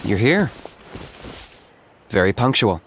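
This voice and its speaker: An actor playing a cool, businesslike young hunter in a video game, deadpan and matter-of-fact. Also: male voice, fairly low pitch, slow, a little hiss or hum.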